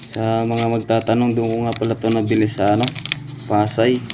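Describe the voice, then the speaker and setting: A man with a Filipino accent casually showing items in an unboxing vlog, haltingly searching for words. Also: fairly low pitch, completely flat delivery. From Philippines. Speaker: DIY and motovlog host. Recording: clearly noisy home-made vlog audio.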